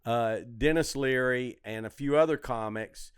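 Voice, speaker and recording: A man's voice: mid-range voice, unhurried, smooth, no room sound, clean studio sound. An older man in a casual podcast conversation, hosting and telling stories in a rambling, repetitive way. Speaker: comedy podcast host and club owner.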